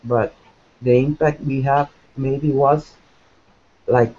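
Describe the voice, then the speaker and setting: Halting man from Costa Rica, lo-fi call. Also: mid-range voice, fairly even intonation, dark tone. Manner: halting, searching for words. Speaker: student guest. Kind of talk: hesitant question in a video hangout. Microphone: video call. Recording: dull lo-fi video call audio.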